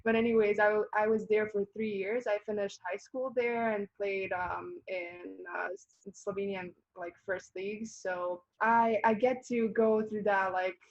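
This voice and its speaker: A woman's voice: mid-range voice, fairly even intonation, a little slow, hardly any pauses. Young Bosnian-born woman athlete, Bosnian native speaker, chatty interview answers, a bit muffled lo-fi recording. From Bosnia and Herzegovina. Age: young adult. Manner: chatty, laughs often, many fillers and restarts. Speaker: college volleyball player.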